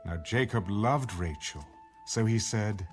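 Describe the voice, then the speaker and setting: A man's voice: on the low side, lively intonation, slow, soft background music. A man narrating scripture as a scripted, dramatic audio Bible reading.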